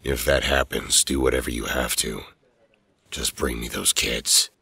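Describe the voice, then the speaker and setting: A man's voice: extremely low pitch, fairly even intonation, measured pace, creaky, pushed and bright, slightly rough, no echo, full character voice. A man playing a cold, commanding president in an audio drama, clipped and authoritative. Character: cold, commanding male president ordering arrests.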